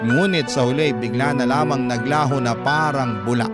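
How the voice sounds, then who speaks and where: A man's voice: medium pitch, close to an everyday voice. An actor playing a sorrowful man who recounts his life with a Filipino accent, in scripted radio drama narration. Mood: solemn, sorrowful. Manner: measured, solemn storytelling. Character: Wawi, sorrowful male letter sender recounting his life. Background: prominent background music.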